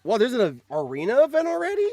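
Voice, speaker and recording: A male streamer with a fairly high-pitched, very lively voice gives excitable live commentary. He reads results out at an unhurried pace and reacts in an animated way, prone to surprised exclamations, over background music. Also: no echo. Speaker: streamer host.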